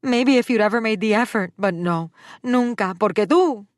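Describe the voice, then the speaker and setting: A woman's voice: fairly high pitch, normal speed, no echo, clean studio sound, voice pushed up, natural voice. Woman doing a fiery mother with a Spanish accent. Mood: outraged. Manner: loud, scolding, theatrical. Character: fiery middle-aged Spanish-speaking mother, dramatic. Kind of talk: audiobook character voice.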